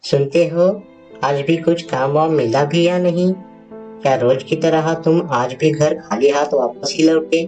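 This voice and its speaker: A woman playing a poor, weary village wife and mother, pleading and sharp-tongued, in a moral story. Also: low voice, fairly even intonation, measured pace. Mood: exasperated. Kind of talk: acted dialogue in a Hindi moral story. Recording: music bed behind the voice.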